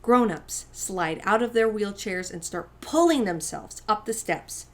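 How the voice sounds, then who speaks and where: A woman narrates a children's picture book at a slow, unhurried pace. Her voice sits at a medium pitch, and she reads expressively, placing clear emphasis on phrases as a story time host would. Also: animated.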